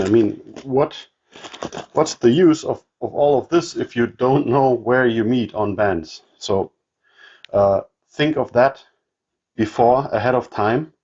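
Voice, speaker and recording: A man with a German accent showing his radio gear in a casual walkthrough, halting with many ums and restarts. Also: medium pitch, a little mellow, lo-fi sound, a little hiss or hum. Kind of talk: casual show-and-tell walkthrough.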